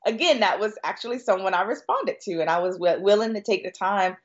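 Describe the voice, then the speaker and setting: A woman's voice: medium pitch, animated, fairly quick. American woman, conversational, slightly dull audio. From Florida, United States. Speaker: sales expert guest. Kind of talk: conversational podcast interview answers.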